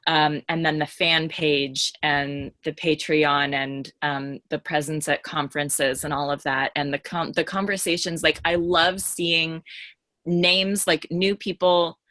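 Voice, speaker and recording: A woman's voice: low-pitched, little pitch movement, measured pace, pushed and bright, no echo. A woman in casual podcast conversation, speaking earnestly and effusively as a co-host.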